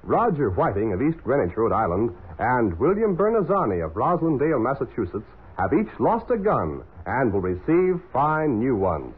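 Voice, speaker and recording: A man's voice: mid-range voice, very animated, moderate pace, hardly any pauses, slightly rough. A man announcing prizes on a 1940s children's radio show. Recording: muffled, tinny 1940s radio recording.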